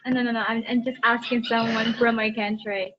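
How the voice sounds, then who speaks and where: Higher-voiced woman hosting a video call. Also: flat delivery, fairly quick, a bit bright. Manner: polite, hesitant, lots of fillers. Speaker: host. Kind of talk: hosting an online talk session. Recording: dull lo-fi video call with faint noise.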